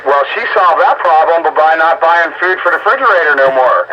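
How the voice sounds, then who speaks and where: A man's voice: a little high, expressive, a little fast, sharp tone. A man chatting casually in a folksy, colloquial way over a two-way radio transmission. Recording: dull, noisy radio transmission.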